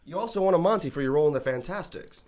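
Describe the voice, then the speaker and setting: A man's voice: a little high, lively intonation, very fast, darkish tone. A man, a radio actor, trading scripted banter as a guest on a show.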